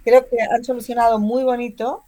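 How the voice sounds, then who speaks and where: Talkative, expressive woman from Spain. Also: a little high, moderate pace, crowd noise. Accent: Spanish, from Spain. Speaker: interviewed novelist. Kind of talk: conversational interview.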